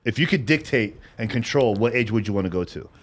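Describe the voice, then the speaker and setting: A man's voice: fairly low pitch, expressive, brisk. A man in his thirties hosting a podcast, talking bluntly and swearing freely in casual conversation. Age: 30s. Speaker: podcast host.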